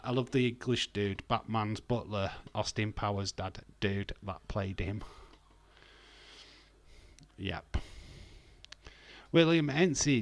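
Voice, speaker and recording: A young man with a broad Yorkshire accent talks loosely and jokingly on a livestream, the odd swear thrown in. His voice sits fairly low. The sound is dry and close to the mic. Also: fairly bright tone, dry recording. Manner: chatty, jokey, swearing, often laughing. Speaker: wrestling watch-along streamer. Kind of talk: casual livestream chat.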